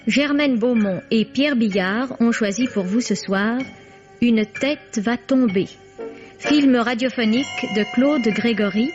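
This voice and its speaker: Slow female announcer over music, vintage radio. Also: fairly high pitch, highs cut off. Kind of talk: formal scripted programme credits announcement.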